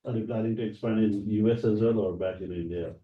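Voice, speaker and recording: A man's voice: fairly low pitch, fairly even intonation, fast talker. A man leading the session, giving short, encouraging replies and asking students questions. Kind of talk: casual classroom talk. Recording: distant, roomy and dull.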